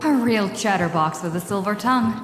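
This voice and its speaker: A woman's voice: medium pitch, a little fast, lightly acted. An actress playing an earnest young woman traveller in a video game, conversational and persuasive. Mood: playful. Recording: echoey hall reverb, music behind.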